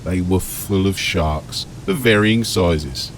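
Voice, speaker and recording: A man's voice: low voice, slow, slight background noise. A man narrating an audiobook story in a scripted, dramatic storytelling style.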